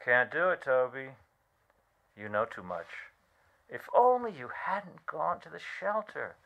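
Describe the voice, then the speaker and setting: A man in a radio play acting an earnest, regretful conspirator who justifies himself haltingly and dramatically. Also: medium pitch, big pitch swings, gravelly, fairly bright tone, highs cut off, exaggerated voice. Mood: apologetic.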